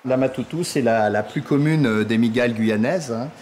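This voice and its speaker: A man's voice: medium pitch, moderate pace, darkish tone. A man speaking spontaneously in a documentary interview as an insect collector, explaining things point by point. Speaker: insect collector and exhibition guide. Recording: clear background noise, natural ambience.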